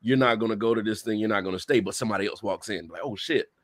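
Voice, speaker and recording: A man's voice: fairly low pitch, fast talker, no room sound. A man co-hosting a casual podcast, laying out a laid-back hypothetical scenario to his friends. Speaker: podcast co-host. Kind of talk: casual political banter.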